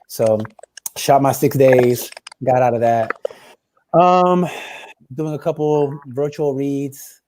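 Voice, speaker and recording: A man's voice: mid-range voice, takes it slowly, long pauses, a little mellow, a little hiss or hum, poor audio. A man, an actor, chatting casually on a panel, rambling with fillers and laughing.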